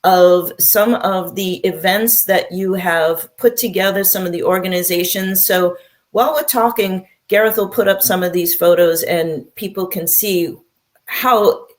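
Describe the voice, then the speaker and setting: A woman's voice: fairly low pitch, unhurried. Upbeat woman talk show host. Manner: upbeat, encouraging interviewer. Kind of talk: interview hosting.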